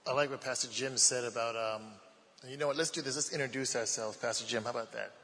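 A man's voice: mid-range voice, fast talker, sharp tone, in a large hall. A man with a Baltimore accent, a pastor leading a panel discussion and teaching through repeated points.

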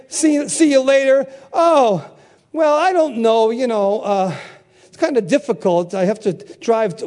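A man's voice: very high-pitched, a little mellow. An older man preaching a sermon, repeating key phrases and calling out questions for the congregation to answer. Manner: call-and-response, emphatic repetition.

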